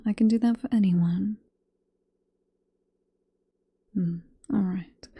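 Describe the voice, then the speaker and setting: A British woman voicing a possessive, teasing vampire professor in an ASMR roleplay, in a soft, breathy whisper with a coaxing, unhurried manner. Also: mid-range voice. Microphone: close ASMR microphone.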